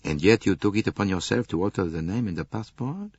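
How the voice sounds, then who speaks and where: A man voicing a shrewd, courteous detective, measured and probing, in an audiobook. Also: deep voice, animated, a little mellow, husky, dry recording, lo-fi sound. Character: shrewd Belgian male detective, polite, probing, unruffled.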